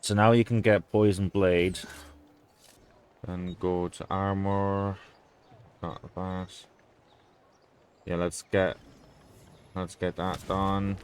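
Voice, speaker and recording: A man's voice: sits low, flat delivery, slow, long pauses, darkish tone, a little hiss or hum. A man whose first language is Polish, giving rambling, hesitant gameplay commentary with frequent fillers and casual swearing. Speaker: gameplay video creator.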